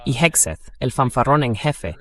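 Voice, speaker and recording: A man's voice: medium pitch, expressive, normal speed. A man speaking as a guest commentator in a political discussion, with a wry, critical way of talking.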